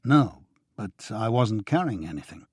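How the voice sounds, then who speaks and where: A man's voice: lowish voice, sing-song, measured pace, slightly rough, dry recording, exaggerated voice. A man reading the lines of a dry, reluctant male journalist in an audiobook. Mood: wry.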